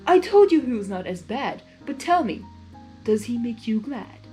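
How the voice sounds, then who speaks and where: A woman voicing a wise, caring female zebra who speaks formally in measured rhymes. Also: fairly high pitch, sing-song, warm tone, soft background music, pitched above the performer's own voice. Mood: gently probing.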